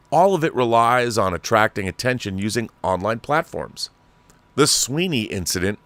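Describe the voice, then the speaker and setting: A man's voice: medium pitch, fairly bright tone, dry recording. American man reading aloud, dry close sound. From Washington state, United States. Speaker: online talk show host. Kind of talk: reading an article aloud with asides.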